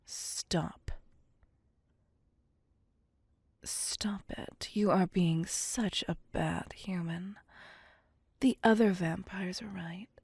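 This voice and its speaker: An ancient female vampire, acted by a woman for an ASMR roleplay, possessive and playful. She speaks very slowly in a dark, breathy near-whisper, coaxing and commanding the listener as her prey. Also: on the low side, fairly even intonation, no echo, exaggerated voice. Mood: indignant, scolding. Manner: slow, teasing, coaxing and domineering.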